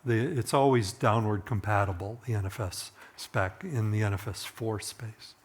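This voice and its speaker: A middle-aged man with a slightly hoarse voice, presenting a technical conference talk in an informal, conversational way, thinking aloud as he goes. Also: lowish voice, steady tone, moderate pace. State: voice getting hoarse.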